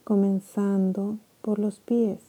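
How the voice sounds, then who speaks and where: A woman leads a body-scan meditation for sleep. Her voice is deeply mellow and warm, and she moves very slowly, letting phrases hang with long gentle pauses. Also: flat delivery, husky, low-quality recording. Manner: slow, soft, soothing with long pauses. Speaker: meditation guide.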